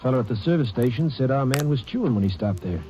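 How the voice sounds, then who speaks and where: An actor playing an eager ranger partner in a vintage radio drama. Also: male voice, mid-range voice, expressive, fast, mellow, lightly acted. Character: sidekick lawman, eager and helpful. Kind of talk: 1950s radio drama acting. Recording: dull old radio recording with hiss.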